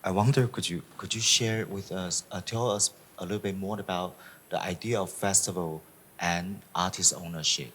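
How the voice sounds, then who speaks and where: A man's voice: deep voice, steady tone, moderate pace, fairly bright tone, a little hiss or hum. A man moderating a public talk, asking questions tentatively and rephrasing them as he goes. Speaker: panel moderator.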